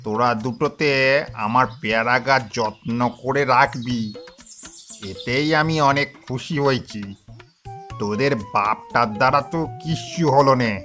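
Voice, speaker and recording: A man playing a kindly but gruff old grandfather ghost in a cartoon, with a drawn-out, rustic scolding manner. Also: mid-range voice, bright, forceful tone, faint music behind. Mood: amused, gruffly fond. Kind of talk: Bengali moral-story cartoon acting.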